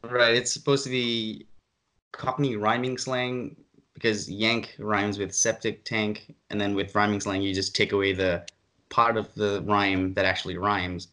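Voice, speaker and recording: A man hosting a casual chat podcast with a lowish voice. He explains at length, full of fillers, with an exasperated edge. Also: level tone, a little slow, pushed and bright. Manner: rambling, exasperated. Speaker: podcast host.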